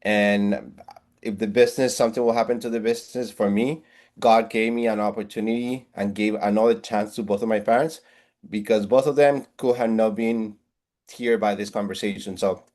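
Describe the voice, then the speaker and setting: Earnest Puerto Rican-accented man, lowish voice. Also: steady tone, normal speed, darkish tone. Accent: Puerto Rican Spanish. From Puerto Rico. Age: 40s. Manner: earnest, motivational, with frequent restarts. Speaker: real estate agent guest. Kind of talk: interview on video call.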